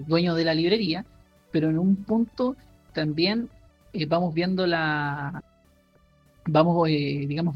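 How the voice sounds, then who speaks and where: A man co-hosting a podcast, casually recommending a book in a halting way, full of fillers and restarts. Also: high voice, muffled recording. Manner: halting, many fillers and restarts while explaining. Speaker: podcast co-host.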